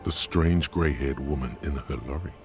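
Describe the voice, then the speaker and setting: An actor playing a brooding, cryptic inner voice in a detective video game, solemn, deliberate and terse. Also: male voice, rumbling low, faint music behind. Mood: brooding, observant.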